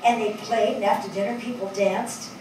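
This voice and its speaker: An older woman acting a thoughtful writer in a stage play reading, telling her story with expressive, wistful, deliberate phrasing. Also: medium pitch, close to an everyday voice. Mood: wistful wonder. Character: thoughtful, attentive New York woman writer. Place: on stage in a theatre before an audience. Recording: distant mic, echoey hall, audible noise.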